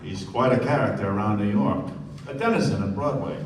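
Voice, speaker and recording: A man acting a suave, affable young millionaire in a live radio play, with smooth, polite delivery. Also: lowish voice, fairly quick, close to an everyday voice. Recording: echoey stage, distant mic, noisy room.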